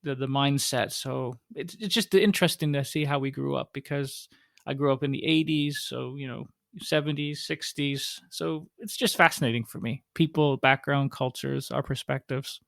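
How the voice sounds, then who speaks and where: Gravelly Canadian man chatting. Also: medium pitch, steady tone, normal speed, fairly bright tone, no room sound, clean studio sound. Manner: curious, chatty, thinks aloud. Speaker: co-host. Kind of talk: casual conversational chat.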